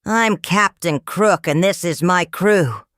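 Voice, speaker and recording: A woman voicing a gruff, bossy pirate captain from a children's storybook, growling and barking orders. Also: lowish voice, takes it slowly, fairly bright tone, no echo, clean studio sound. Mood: commanding.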